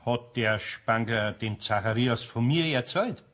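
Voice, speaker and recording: A man playing a good-natured, curious woodworker with a husky voice and a Bavarian accent in a German audio play. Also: mid-range voice, unhurried, fairly bright tone, close to an everyday voice. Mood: hesitant.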